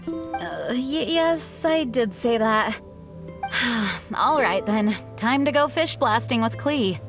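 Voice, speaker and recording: A woman's voice: fairly high pitch, expressive, slow pace. A woman playing an earnest, dutiful young knight leader in a video game, formal in manner. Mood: flustered. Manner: composed, formal. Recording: music behind the voice.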